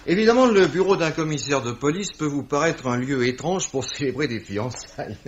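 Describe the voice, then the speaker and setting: A man's voice: on the high side, animated, a little hiss or hum, natural voice. Man playing shrewd police commissioner, formal, widely varied intonation, muffled old radio-play recording. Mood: genial, formal. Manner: formal, measured, theatrical. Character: shrewd, composed male police commissioner, courteous, authoritative. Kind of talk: 1950s French radio drama acting. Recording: dull vintage radio recording.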